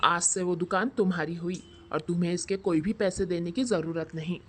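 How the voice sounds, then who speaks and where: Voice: mid-range voice, fairly even intonation, brisk, fairly bright tone, slight background noise, lightly acted. A performer voicing a male shop landlord in a scripted Hindi moral story. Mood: warm, generous. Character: callous male shop landlord, later humbled and grateful.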